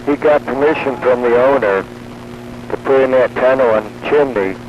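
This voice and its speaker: A man's voice: medium pitch, normal speed. A man in casual hobbyist chat, heard over a two-way radio transmission. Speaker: radio hobbyist on a CB round-table. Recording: muffled CB radio with clear noise.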